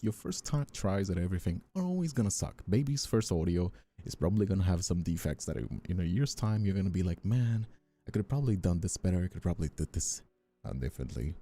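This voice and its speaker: Very deep, dark-voiced man chatting. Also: sing-song, a little fast. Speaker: streamer and ASMR voice actor. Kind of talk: casual livestream chat answering viewers.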